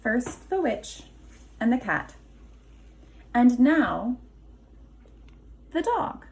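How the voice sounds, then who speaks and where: A woman kindergarten teacher reading a children's storybook aloud expressively, deliberate and emphatic, pausing for questions. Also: on the high side, very animated, darkish tone, low-quality recording.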